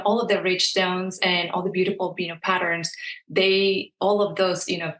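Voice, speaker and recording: A woman's voice: fairly low pitch, sharp tone. Woman, 30s, Mexican accent, roomy echo, fill-heavy chatty interviewee. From southern Mexico. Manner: frequent "you know" fillers, hesitant restarts. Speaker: guest interior designer. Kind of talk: casual interview talk. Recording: echoey room.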